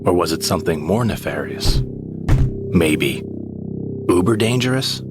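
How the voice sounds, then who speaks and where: A man's voice: deep voice, animated, measured pace, loud music behind the voice. A man narrating a first-person audio drama story in a dramatic storytelling manner. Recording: narration over loud score.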